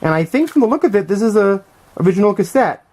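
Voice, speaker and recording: A middle-aged man giving a casual, unscripted show-and-tell commentary as a collector, thinking aloud in a halting, hesitant way. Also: high-pitched, animated, darkish tone. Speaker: vlogger and music collector showing finds.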